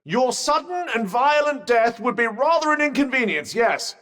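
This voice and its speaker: A man's voice: extremely high pitch, big pitch swings, normal speed, pushed and bright, long reverb tail, exaggerated voice. An actor playing a dry-witted, sardonic male magic bracelet in a video game, speaking with posh understatement. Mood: wry. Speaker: companion character. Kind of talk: game voice lines.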